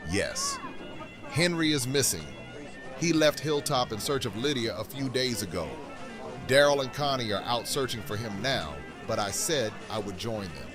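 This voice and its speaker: A man acting in an audio drama as a warm, stately community leader, with theatrical, oratorical phrasing. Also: mid-range voice, expressive, normal speed, over music, lightly acted. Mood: grave.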